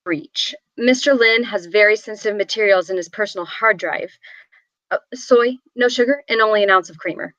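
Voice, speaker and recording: A woman's voice: medium pitch, moderate pace, a bit bright, no room sound, natural voice. A woman at a script table read playing a formal, demanding client in her late thirties. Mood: demanding, cool. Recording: dry, dull lo-fi microphone.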